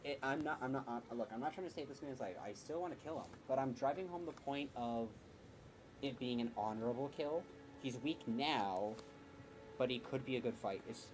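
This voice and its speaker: Guy streaming a game, rambly and jokey, mid-pitched voice, loud game noise behind him. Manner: rambling, self-correcting, jokey. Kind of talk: casual gameplay commentary.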